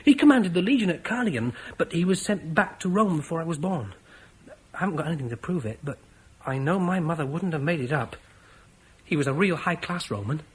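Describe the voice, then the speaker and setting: A man's voice: fairly high pitch, lively intonation, fairly quick, breathy edge, poor audio. An actor playing a cheerful, brash young soldier in a classic radio drama, laughing as he tells of a fight. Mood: earnest. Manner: boisterous, laughing storyteller. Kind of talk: 1950s radio drama acting.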